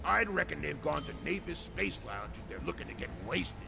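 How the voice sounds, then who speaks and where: A man playing a gruff, dismissive space-bar keeper in a video game, with a gravelly voice. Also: a little high, very animated, normal speed, over music, full character voice.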